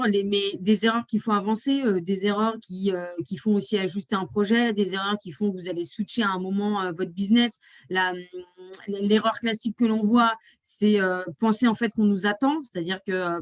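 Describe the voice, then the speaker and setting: A woman from France talks through advice on a webinar panel, pausing often on fillers. The sound is dry and a little dull, as over a lo-fi connection. Her voice sits at an average pitch. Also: no room sound. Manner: hesitant, many fillers. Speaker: export advisor.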